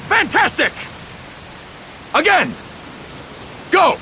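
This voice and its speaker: An actor playing an enthusiastic drill trainer who shouts calls at recruits, amid loud rain noise. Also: male voice, extremely high pitch, big pitch swings, extremely slow, exaggerated voice.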